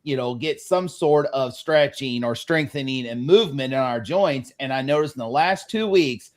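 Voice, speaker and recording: A man's voice: medium pitch, normal speed. A man in his forties, a panel guest, plainly telling a personal story in casual chat. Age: 40s.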